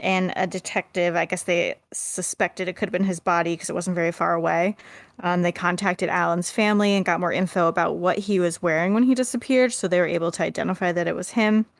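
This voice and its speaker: A woman's voice: on the low side, fairly even intonation, fairly quick, creaky, dry recording. A woman co-hosting a podcast, chatting casually and reading through case notes aloud. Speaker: podcast co-host.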